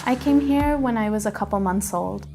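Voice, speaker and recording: A woman's voice: medium pitch, normal speed, music in the background. A young woman from Mexico speaking candidly in a documentary interview. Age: young adult. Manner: candid, casual speech.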